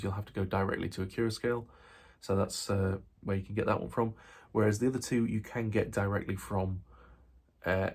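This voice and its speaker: A man's voice: lowish voice, a little mellow, breathy edge. A man with a Yorkshire accent and a slightly nasal voice from a cold, hosting a casual, rambling video update about model railways. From England. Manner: rambling, with many fillers and restarts. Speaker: model railway channel host.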